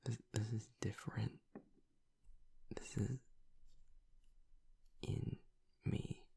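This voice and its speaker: A man playing a guarded, vulnerable, grieving werewolf boyfriend in an intimate audio roleplay, speaking in a halting, breathy, gravelly whisper. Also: on the low side, flat delivery, warm tone, heavily acted. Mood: guarded, reluctant. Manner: halting, reluctant, haltingly confessional.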